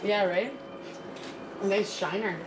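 A young woman, a singer with a raspy voice, talking casually in a band rehearsal room for a documentary. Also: medium pitch, fairly even intonation, slow pace, heavy background noise. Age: young adult.

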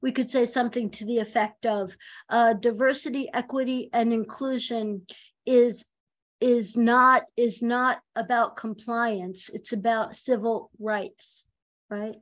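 A woman in her fifties, a communication coach, giving chatty, animated speaking advice with a high voice over a video call from home. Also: unhurried. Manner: chatty and animated, laughs often. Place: home room, indoors. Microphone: video call webcam.

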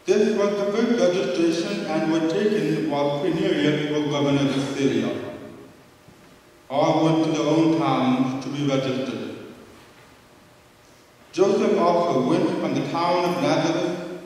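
A male priest proclaims scripture and preaches in an unhurried, measured cadence, sometimes lifting into chant. His slightly raised voice rings through a large church with a long, booming reverb. The mic sits far away in the pews, with a faint room hum underneath. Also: darkish tone. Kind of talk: gospel reading and homily at Mass. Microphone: far camera in the congregation. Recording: echoey church heard from far off.

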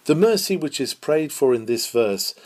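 A man's voice: a little high, animated, normal speed, a little mellow. A man reading a scripted historical sermon aloud in a solemn, declamatory preaching manner.

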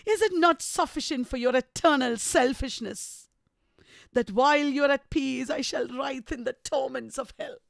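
A tormented, savage male lover from a classic novel, acted out by a woman. The delivery is impassioned and accusing, high in pitch, with drawn-out pacing. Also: vocal fry, no room sound, clean studio sound. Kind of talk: dramatic reading of a classic novel.

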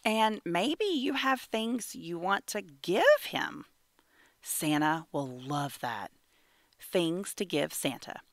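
A woman reading a children's picture book aloud in an animated, measured storytelling manner. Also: fairly low pitch, no echo, studio quality. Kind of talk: expressive children's read-aloud narration.

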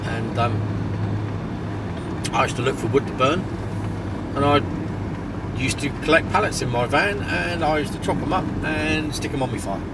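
Croaky man chatting from a car. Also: fairly high pitch, normal speed, long pauses. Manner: rambling, chatty, with throat clearing. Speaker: vlogger. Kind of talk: casual driving vlog chat. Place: inside a moving car. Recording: loud road noise, from far off.

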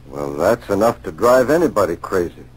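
An actor in a 1940s radio drama playing a plain-spoken, incredulous barkeep with a folksy manner. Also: male voice, sits low, lively intonation, moderate pace, breathy edge, poor audio. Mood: puzzled, incredulous. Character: plain-spoken, puzzled barkeep listening to a tale.

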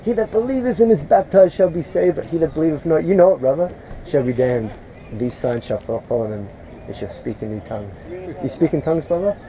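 A man preaching scripture outdoors to a passer-by, earnest and quick to pray in tongues, recorded on a chest-worn camera. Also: fairly high pitch, expressive, warm tone, highs cut off. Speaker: street preacher. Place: outdoors on a street beside a shopping centre car park. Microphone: chest-worn action camera.

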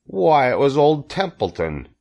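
A man's voice: mid-range voice, huge pitch swings, measured pace, vocal fry, a little mellow, lo-fi sound, pitched above the performer's own voice, full character voice. A man voicing a lazy, self-pitying old storybook rat with a growling, sarcastic, complaining manner. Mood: mocking, self-pitying.

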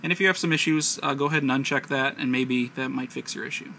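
A man's voice: mid-range voice, fairly even intonation, fast, vocal fry, pushed and bright. An American man narrating an unscripted hands-on tech tutorial step by step, recorded on a handheld camera. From United States. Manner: explanatory, step-by-step instruction. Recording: noisy amateur handheld camera audio.

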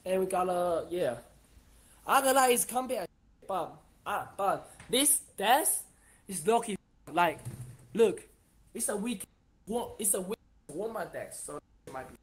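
A young man giving a casual house tour on a livestream from his phone, in halting, broken phrases that often repeat words. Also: sits high, lively intonation. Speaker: streamer. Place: house rooms, walking with phone.

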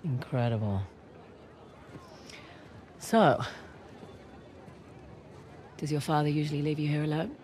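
A woman's voice: deep voice, animated, takes it slowly, pauses often, darkish tone, a little hiss or hum. A woman playing a wry, guarded adventurer in her thirties in a video game, with a dry, understated delivery. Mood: wry, amused. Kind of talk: naturalistic cinematic video game acting. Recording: clear outdoor street ambience behind.

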